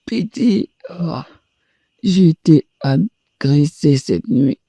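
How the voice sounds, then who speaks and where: A man's voice: on the high side, expressive, very slow, gravelly, mellow, husky, no echo, heavily acted. A man playing a whiny, groaning injured cartoon victim who cries out in pain and pleads. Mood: pained pleading. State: crying out in pain. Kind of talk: cartoon dialogue.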